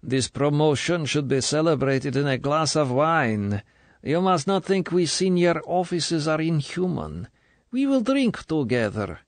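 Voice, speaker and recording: A man's voice: fairly high pitch, very animated, measured pace, dry recording, voice pushed up. A man voicing a sugary, menacing middle-aged female Soviet colonel, in an audiobook. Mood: falsely sweet. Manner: falsely sweet, smooth, then cold and commanding.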